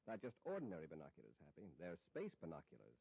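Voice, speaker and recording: An actor playing a steady, authoritative space patrol commander in old radio serial style, explaining with assurance. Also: male voice, deep voice, fast talker, gravelly, slightly rough, dry recording, exaggerated voice. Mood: assured, instructive. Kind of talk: old radio drama acting. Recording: dull, dry old radio recording.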